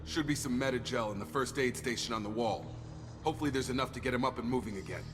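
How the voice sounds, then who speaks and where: An actor playing a steady, loyal young soldier in a video game, plain-spoken and informal with an American accent. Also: male voice, mid-range voice, fast, over music, close to an everyday voice. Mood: brisk, alert. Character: steady, loyal male soldier and station security chief.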